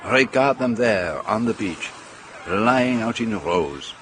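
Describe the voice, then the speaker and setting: A fastidious gentleman detective in a radio drama, speaks in a lowish male voice with a French accent. His delivery is formal, precise and theatrical, swinging widely in pitch over faint background noise. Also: expressive. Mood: formal, musing. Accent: French-speaking European. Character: fastidious, courteous middle-aged foreign gentleman detective. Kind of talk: radio drama acting and narration.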